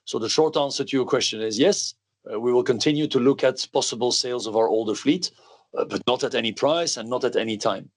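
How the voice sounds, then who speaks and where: Male executive, mid-pitched voice, measured and explanatory, fielding questions on an earnings call. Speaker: company executive.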